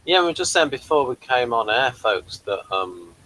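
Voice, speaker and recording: A man hosting a casual review discussion, speaking in a halting, hesitant way. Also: medium pitch, sing-song, pushed and bright, poor audio. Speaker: review show host.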